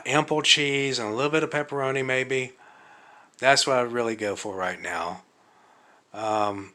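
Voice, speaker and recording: Man speaking slowly, slightly raspy voice. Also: mid-range voice, bright, forceful tone. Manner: slow, halting, thinking aloud with many fillers. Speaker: vlogger. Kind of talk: casual vlog monologue. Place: living room of an apartment at night.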